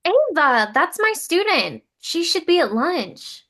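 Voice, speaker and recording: Actress as eager young lady teacher, sitcom read, high bright voice, earnest n a bit flustered. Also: very animated, takes it slowly, exaggerated voice. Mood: protective.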